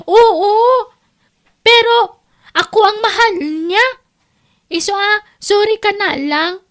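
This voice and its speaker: A woman's voice: extremely high pitch, takes it slowly, a bit bright, heavily acted. A woman playing a brazen, possessive young mistress who taunts her rival in a radio drama. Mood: taunting.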